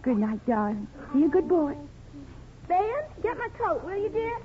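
A woman's voice: very high-pitched, very animated, moderate pace. An actress playing a spirited, affectionate young wife and mother in a 1950s radio drama. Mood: playful, affectionate. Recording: tinny old radio recording, music behind.

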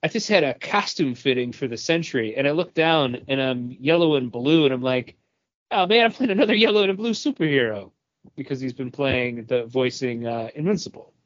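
A man co-hosting a casual entertainment news chat, halting as he searches for words. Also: mid-range voice, expressive. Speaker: podcast co-host presenting news. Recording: dull lo-fi sound.

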